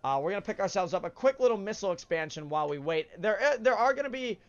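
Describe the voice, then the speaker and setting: A man's voice: high voice, lively intonation, fast talker, dull audio. A man with a stuffed-up nose from a cold, rambling through casual gameplay commentary as the host. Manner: chatty, rambling, frequent uhs and self-corrections. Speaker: let's play host.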